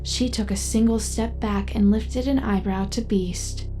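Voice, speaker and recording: A woman's voice: medium pitch, steady tone, unhurried, over loud music. A woman narrating a scripted audio drama as its storyteller.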